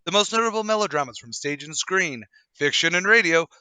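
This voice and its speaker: A man's voice: fairly high pitch, expressive, brisk, pushed and bright, clean tone, no echo. A man hosting and narrating an audio drama table read with theatrical, old-time announcer showmanship.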